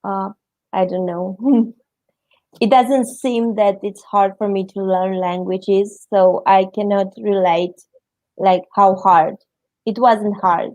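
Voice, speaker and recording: A middle-aged woman from Romania with a Romanian accent, answering interview questions haltingly as she searches for words in English. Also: medium pitch, steady tone, darkish tone, no room sound, rough recording. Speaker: interview guest.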